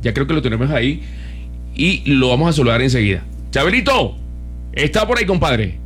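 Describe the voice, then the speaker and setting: A man's voice: mid-range voice, very animated, brisk, fairly bright tone, breathy edge. A man with a Colombian accent, co-hosting live radio in casual banter. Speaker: radio co-host. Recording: music playing behind the voice. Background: background music.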